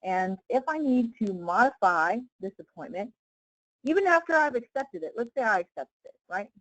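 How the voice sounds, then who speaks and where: A woman leading a casual live software tutorial as a trainer, explaining step by step in an informal way with frequent check-in questions. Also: on the high side, very animated, moderate pace, no room sound, poor audio.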